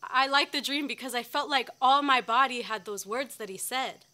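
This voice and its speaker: Actress as heartfelt young woman farmworker, high bright voice, reminiscing, faint noise. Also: normal speed. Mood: wistful. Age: young adult. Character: farmworker daughter recalling hardship, heartfelt. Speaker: actor performing a monologue. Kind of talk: dramatized oral history monologue.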